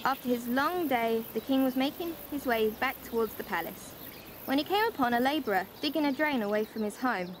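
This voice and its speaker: A woman's voice: high voice, lively intonation, brisk. A woman playing a friendly older relative who reads bedtime stories in an amateur drama. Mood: storytelling. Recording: roomy echo, faint background noise.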